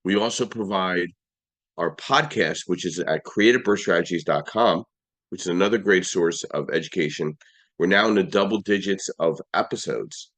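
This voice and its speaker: Hoarse male podcast host, low voice. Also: moderate pace, fairly bright tone. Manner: upbeat, list-like delivery with restarts. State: slightly hoarse. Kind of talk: hosting a live audio room.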